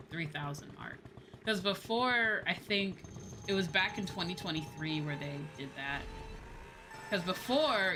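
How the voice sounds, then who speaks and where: A woman's voice: low voice, noisy background. A woman livestreamer chatting casually while gaming, in an informal, conversational way with emphatic repetition. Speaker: livestreamer, VTuber. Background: background music.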